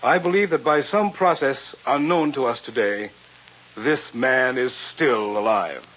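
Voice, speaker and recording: An actor playing a bold, eager male professor, formal and deliberate, in old-time radio drama style. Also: mid-range voice, fairly bright tone, natural voice. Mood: formal, intent. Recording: muffled vintage radio, faint music.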